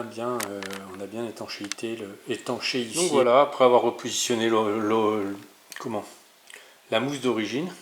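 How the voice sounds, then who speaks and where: A man giving an informal hands-on DIY tutorial as a channel host, speaking in an improvised, hesitant way and often correcting himself. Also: on the low side. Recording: roomy echo, clear background noise, clicks.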